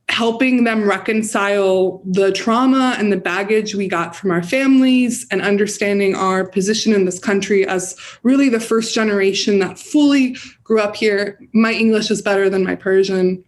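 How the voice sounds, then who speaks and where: A woman with an American accent giving earnest, reflective answers as an interview guest. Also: medium pitch. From United States. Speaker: guest, campaign director and community organizer.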